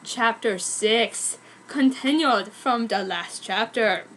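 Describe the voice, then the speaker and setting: Woman w high voice reading fanfic out loud, dramatic comic narration, overly earnest. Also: slow, a little hiss or hum, rough recording. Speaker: fan fiction reader.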